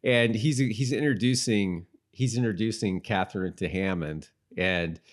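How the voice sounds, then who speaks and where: A man's voice: lowish voice, a little slow. A man co-hosting a podcast in casual conversation about a TV show. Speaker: podcast co-host.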